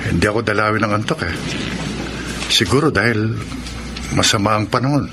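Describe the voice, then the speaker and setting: A man's voice: fairly low pitch, brisk, natural voice. An actor playing a caring, anxious seaman father in a Filipino radio drama. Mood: uneasy. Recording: very noisy radio drama audio.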